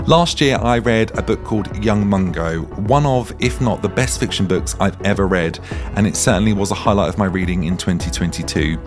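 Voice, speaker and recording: Brief: man hosting a podcast, low voice, gushing and chatty. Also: brisk, over music. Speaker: podcast host. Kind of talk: podcast intro and interview.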